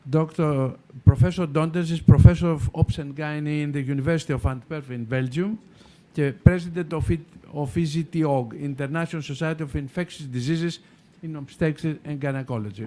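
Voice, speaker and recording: A man chairing a medical conference, giving a formal, slightly halting introduction. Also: on the high side, mellow. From Greece.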